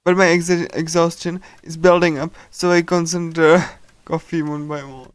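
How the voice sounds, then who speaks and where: Male game streamer, halting casual read-aloud, smooth slightly high voice, close dry sound. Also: flat delivery, no echo. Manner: hesitant, halting, with small chuckles. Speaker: streamer reading a visual novel aloud.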